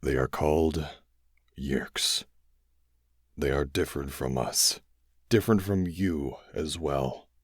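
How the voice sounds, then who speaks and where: A man voicing a gentle, dying alien who speaks slowly and gravely. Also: extremely low pitch, no echo, clean studio sound, voice pushed down, exaggerated voice. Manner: slow, solemn, measured.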